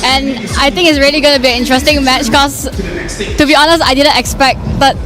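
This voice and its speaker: A woman esports player interviewed at a live event, playful and relaxed. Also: high voice, fairly quick, over music. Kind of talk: post-match style interview. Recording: live event with loud crowd.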